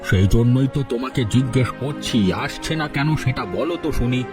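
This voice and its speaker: A man's voice: mid-range voice, steady tone, brisk, natural voice. A man voicing a proud village headman in a cartoon, authoritative and coaxing. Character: village headman and teacher, proud, two-faced. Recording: soft music under the voice.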